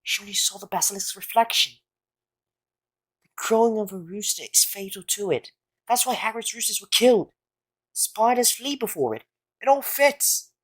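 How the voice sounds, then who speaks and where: A woman playing an earnest, quick-thinking boy wizard in an audio drama, speaking with deliberate conviction. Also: mid-range voice, husky, lightly acted. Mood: urgent realisation. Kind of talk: fan audio drama acting.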